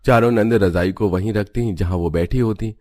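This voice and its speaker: A man's voice: fairly low pitch, moderate pace, a little mellow, no room sound, clean studio sound. A man giving scripted narration for a cartoon story in Hindi.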